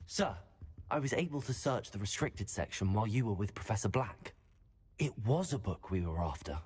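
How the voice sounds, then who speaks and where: An actor playing a polite, earnest young wizard student in a video game, speaking in a respectful, matter-of-fact way. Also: male voice, lowish voice, lively intonation, normal speed, darkish tone, slightly rough, no room sound. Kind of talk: video game dialogue acting.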